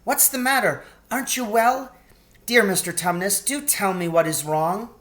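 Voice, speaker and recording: A man's voice: high voice, normal speed, fairly bright tone, pitched above the performer's own voice. A man voicing a polite, anxious, caring young girl in a storybook, with an earnest, fretful manner. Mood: worried.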